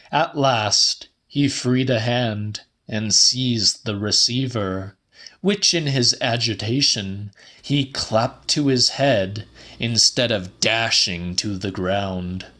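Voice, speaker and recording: A man reads literary fiction as an audiobook narrator. His pace is slow and deliberate, and his pitch sits in the middle. The delivery is measured, with a slightly dramatic edge. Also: a bit bright.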